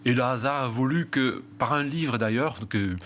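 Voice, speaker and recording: A man's voice: mid-range voice, moderate pace, a bit bright, a little hiss or hum. A middle-aged man from Flanders with a Flemish Belgian accent, being interviewed about his property business and speaking with many hesitations and restarts. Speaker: real estate company founder. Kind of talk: interview answers.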